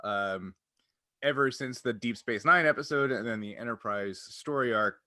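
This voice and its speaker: A man's voice: mid-range voice, normal speed, pushed and bright, no room sound, studio quality. A man talking casually and jokily as a podcast host, explaining sci-fi game lore.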